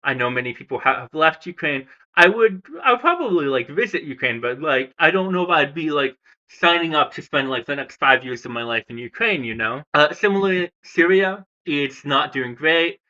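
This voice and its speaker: A man's voice: a little high, expressive, moderate pace, sharp tone, muffled recording. A man from the United States talking casually about languages and countries, hesitant and full of fillers and restarts. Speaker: language vlogger. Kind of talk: casual rambling commentary.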